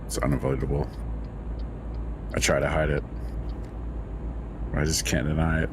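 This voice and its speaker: A man with a very deep voice, chatting casually as a guest player over voice chat. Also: flat delivery, normal speed, noisy background. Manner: very deep voice, laid-back.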